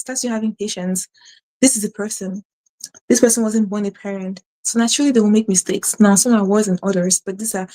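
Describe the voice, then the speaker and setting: A woman host who talks fast with a stutter and many restarts, giving earnest faith-based advice to her audience. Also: mid-range voice, warm tone, low-quality recording. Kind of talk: casual spiritual advice to camera.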